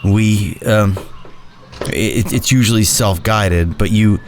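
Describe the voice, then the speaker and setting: A man's voice: on the low side, slow pace, noisy background. An actor playing a nervous, flustered male exhibit attendant in an audio drama, easily rattled and prone to stammering and mumbling. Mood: pleading.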